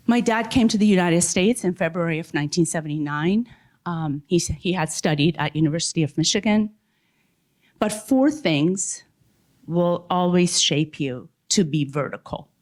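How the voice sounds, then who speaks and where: A woman from Iran sharing a personal story on a panel, measured and emphatic, drawing some words out slowly. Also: lowish voice, a little mellow.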